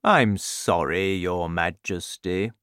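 A man voicing a big, gentle, friendly giant in a storybook reading, speaking slowly and humbly. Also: low-pitched, smooth, dry recording, clean studio sound, voice pushed down.

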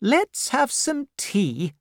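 A woman voicing a stern, brusque older aunt who gives orders in a slow, emphatic manner while reading a storybook aloud. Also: on the high side, very animated, no room sound, clean studio sound, voice pushed up, heavily acted. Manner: brisk, bossy, slowed and emphatic.

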